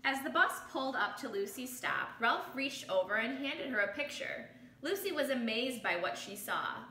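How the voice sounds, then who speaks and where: A woman in her thirties, a teacher reading a children's picture book aloud, expressive and pausing to explain things to children. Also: a little high. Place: small living room, indoors. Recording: roomy home recording with background noise.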